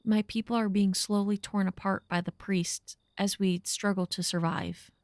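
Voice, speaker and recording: A woman's voice: medium pitch, little pitch movement, moderate pace, darkish tone, dry recording, studio quality, lightly acted. A woman playing a wistful, pleading young woman. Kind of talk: audio drama acting.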